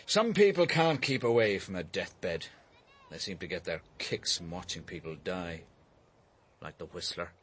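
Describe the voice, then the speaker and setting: An actor playing a proud, aggrieved painter in a radio drama, blunt and surly in his delivery, with a husky voice. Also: sits low, animated, unhurried, fairly bright tone, full character voice. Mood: bitter. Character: bitter, proud, aggrieved widowed painter, a father.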